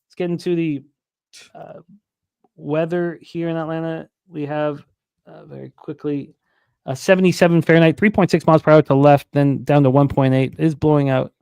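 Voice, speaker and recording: A man hosting a sports betting talk show, running through odds, weather and pitcher stats with plenty of fillers. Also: moderate pace, creaky, clear, resonant tone, no echo. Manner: reads out odds and stats in a steady run, with fillers.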